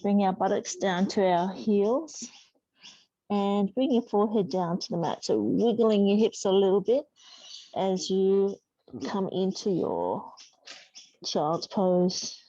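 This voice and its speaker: A woman teaching a yoga class, giving soothing, unhurried guidance with pauses between instructions. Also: mid-range voice, expressive, dark tone, no room sound. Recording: dull, muffled lo-fi sound.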